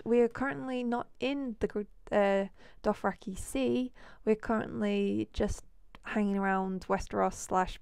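A woman with a cold, hoarse and losing her voice, chatting casually as she shows off characters in a life-sim game. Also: medium pitch, a little mellow, no echo, clean studio sound. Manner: chatty, rambling with fillers. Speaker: let's play host.

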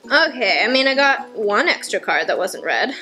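A young woman in her twenties casually explaining board game rules at home, chatty with lots of fillers, her voice a little hoarse. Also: a little high, very animated, moderate pace, pushed and bright, faint music behind. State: slightly hoarse. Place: home kitchen, indoors. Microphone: fixed camera at table.

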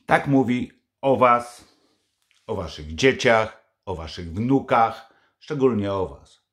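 A man's voice: fairly low pitch, lively intonation, takes it slowly, a bit bright. An older man vlogging from his living room to a close camera, scolding and mocking in a sarcastic tone. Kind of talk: angry political commentary to camera.

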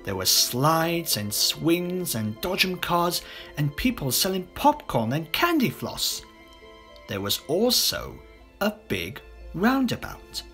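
A man narrating a children's audiobook as an expressive storyteller. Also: on the high side. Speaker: voice actor.

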